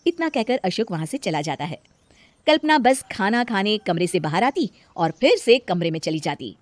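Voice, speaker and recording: A woman narrating a scripted animated moral tale as a storyteller. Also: medium pitch, moderate pace, no echo.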